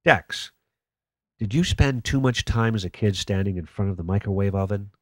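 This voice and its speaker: Actor as jaded male talk radio host, lowish voice, dry sarcasm, close dry sound. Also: moderate pace, dry recording, close to an everyday voice. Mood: sardonic. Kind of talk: radio play acting as talk radio.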